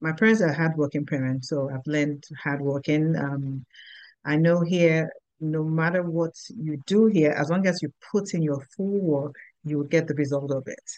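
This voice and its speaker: A middle-aged woman with a Nigerian accent, answering interview questions in an unhurried way with pauses. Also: low voice, steady tone, a little mellow, dry recording, muffled recording. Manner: unhurried, simple sentences with pauses and fillers. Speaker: guest, business owner.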